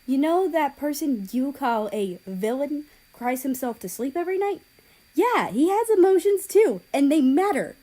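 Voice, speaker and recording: A woman's voice: high-pitched, sing-song, moderate pace, warm tone, voice pushed up. A woman voicing an indignant, fiercely loyal teenage girl in an audiobook story, emphatic and offended. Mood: angry.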